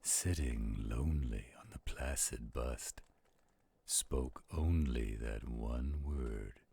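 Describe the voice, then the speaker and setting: A man voicing a theatrical, flirtatious werewolf storyteller in an audio roleplay, with a gravelly, husky voice. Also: rumbling low, mellow, full character voice. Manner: slow, dramatic, playful. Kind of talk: audio roleplay acting with dramatic poem recitation.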